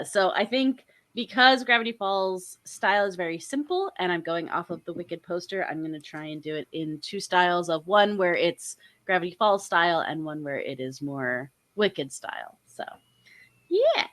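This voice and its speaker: A young woman hosts a relaxed drawing stream, bubbly and quick to laugh. Her voice is bright and swings widely in pitch, with a slightly stuffed-up, nasal sound from a cold. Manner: bubbly, chatty, lots of laughter. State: congested with a cold, a bit nasal. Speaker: host and artist. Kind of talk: casual chat while drawing on stream.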